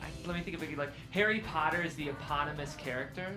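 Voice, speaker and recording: A man's voice: fairly high pitch, fast talker. A man hosting a script table-read show, speaking casually and reading aloud into a close microphone. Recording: music behind the voice.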